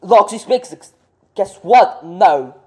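A woman's voice: mid-range voice, lively intonation, slow, breathy edge. A woman complaining casually and emphatically.

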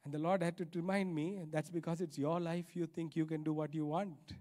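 A man's voice: a little high, flat delivery, brisk, mellow. A middle-aged man preaching a sermon emphatically into a handheld mic, repeating key phrases and adding rhetorical questions. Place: large church auditorium stage, indoors. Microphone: handheld microphone.

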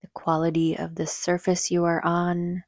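A woman leading a guided meditation in a soothing, gentle, unhurried manner, with soft breathy phrase endings. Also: fairly low pitch, completely flat delivery, no room sound, studio quality. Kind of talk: calm guided meditation narration.

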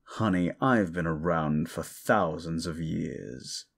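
A man playing a condescending, sardonic ancient demon in an audio roleplay, gravelly, with theatrical, mocking delivery. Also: sits low, slow pace, exaggerated voice. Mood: mocking, scornful. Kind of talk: audio roleplay monologue addressed to the listener.

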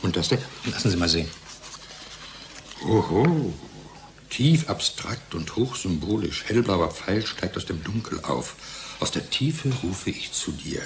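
An actor playing a giddy pharmaceutical colleague in a radio play, speaking in a declamatory, ironic way. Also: male voice, low-pitched, lively intonation, a little slow, slight background noise. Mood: ironic admiration. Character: giddy male pharmaceutical colleague, high on his own pills.

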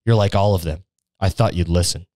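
A man reading the lines of a secretive, quietly forceful young male wizard from a story. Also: a little fast, no room sound, studio quality, lightly acted. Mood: tense. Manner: low, insistent.

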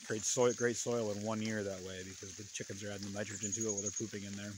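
A man's voice: fairly low pitch, quick pace, noisy background. A man in his fifties gives a casual, rambling walking tour of his hillside garden, talking into a phone held at arm's length outdoors. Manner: relaxed, rambling, plain-spoken. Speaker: permaculture farmer. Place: outdoors on a wooded terraced hillside.

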